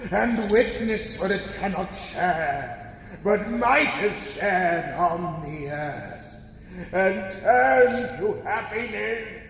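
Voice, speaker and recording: An actor playing the chained ghost of an old man, tormented and doomed, speaking in a slow, wailing, solemn way. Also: on the high side, expressive. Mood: mournful. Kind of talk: radio play acting. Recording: echoey hall reverb with faint music.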